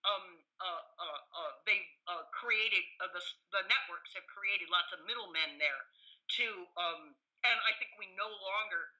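A woman's voice: lowish voice, measured pace, poor audio. An older woman, a guest academic, telling stories conversationally in an interview, acting out quotes and often searching for words. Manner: animated quoting, hesitant searching for words. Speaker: guest, professor and author.

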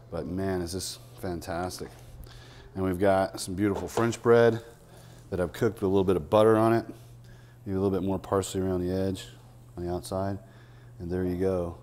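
Chatty male chef, low voice. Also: a little hiss or hum. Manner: relaxed, chatty, many "uh" fillers. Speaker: chef hosting a cooking segment. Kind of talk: casual cooking demonstration. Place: empty restaurant dining room.

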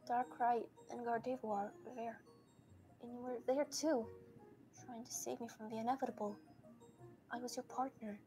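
A woman voicing a shy young female grass snake creature with a halting, hesitant delivery in a comic dub. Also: a little high, steady tone, warm tone, soft background music, close to an everyday voice. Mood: earnest, hesitant.

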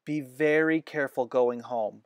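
Man as war nurse woman, solemn, kindly, unhurried, dry sound, children's storybook. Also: medium pitch, dry recording, close to an everyday voice. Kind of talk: children's storybook read-aloud.

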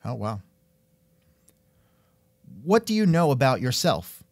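Animated, wry male interviewer. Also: mid-range voice, slow, no room sound, clean studio sound. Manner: wry, musing, interjects with hmms. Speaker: podcast host and interviewer. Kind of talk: casual scripted interview chat.

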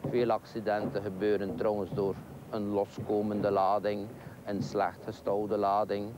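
A Flemish man in his fifties with a lowish voice lays out points in measured lists. He was recorded outdoors on a lot, and traffic sound can be heard clearly behind him. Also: little pitch movement. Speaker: driver training coordinator. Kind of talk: interview answers.

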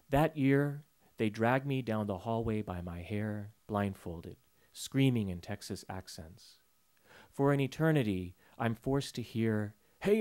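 A man reading his poem aloud from a panel table in an auditorium, with a measured, rhythmic delivery and repeated refrains. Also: mid-range voice, darkish tone. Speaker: poet reading his work. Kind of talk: poetry reading. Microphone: table mic on a stand.

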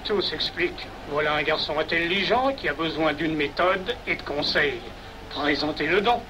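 An older actor playing a condescending, calculating freemason power broker in a 1940s film. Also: male voice, fairly high pitch, moderate pace, close to an everyday voice. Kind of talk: 1940s film acting, conversational. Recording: noisy old 1940s film soundtrack.

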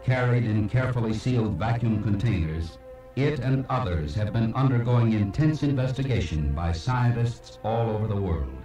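A man reads scripted documentary narration for a slide show in a measured, formal, solemn way, played back from a cassette. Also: fairly low pitch, lively intonation, mellow. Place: played from a cassette, heard in a room. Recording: echoey, distant playback of cassette tape. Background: music.

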